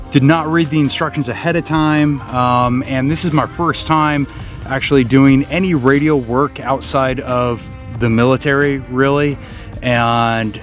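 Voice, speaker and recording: A man hosting a casual, unscripted how-to vlog, explaining somewhat hesitantly as he thinks aloud. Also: mid-range voice. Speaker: vlog host.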